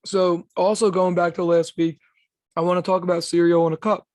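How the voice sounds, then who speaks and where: A young man with a raspy voice, co-hosting a podcast in laid-back, slangy casual chat. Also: high-pitched, level tone, a little fast, darkish tone, no room sound. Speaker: podcast co-host.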